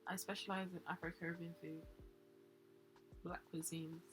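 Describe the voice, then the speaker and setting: A woman's voice: lowish voice, level tone, moderate pace, music in the background. Laid-back young woman. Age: 20s. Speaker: guest, home cook. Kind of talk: casual chat.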